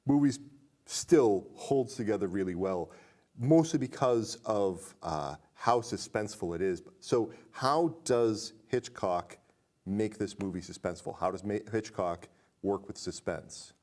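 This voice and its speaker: Low-voiced man discussing film, analytical. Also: measured pace, a little mellow. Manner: analytical, poses questions to his co-host. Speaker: podcast co-host.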